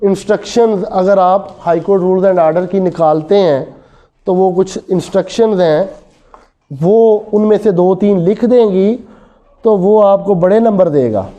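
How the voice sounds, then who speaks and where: A man's voice: sits high, measured pace, warm tone. A man with a Pakistani accent giving an explanatory classroom law lecture, switching between languages mid-sentence. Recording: dull lo-fi audio.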